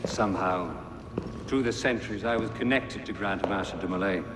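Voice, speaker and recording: An actor playing a smug, philosophical zealot villain in a video game, speaking in measured, theatrical, grandiloquent phrases. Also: male voice, low voice, natural voice. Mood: reverent, fervent. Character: dying zealot Templar villain, smug, philosophical male prophet. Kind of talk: dramatic video game monologue. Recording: cavernous reverb with clear background noise.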